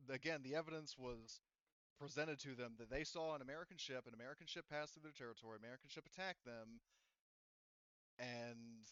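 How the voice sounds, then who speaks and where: A man speaks casually as a tabletop game master, circling back and restating himself as he explains. His delivery is halting and unscripted, at an average pitch. The sound is dry and a little dull, like lo-fi voice chat. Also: a bit bright, clear, resonant tone, no room sound.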